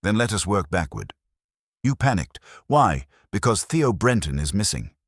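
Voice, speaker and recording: Man acting a deep, deliberate, pompous master detective. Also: big pitch swings, no echo, clean studio sound, full character voice. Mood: probing, dry. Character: commanding, coolly logical male private detective.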